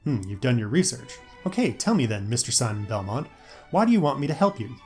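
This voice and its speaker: A man's voice: medium pitch, very animated, brisk, darkish tone, close to an everyday voice. A man voicing a nervous, skeptical, wisecracking teenage schoolboy in an audio story with music behind. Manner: flustered, quipping.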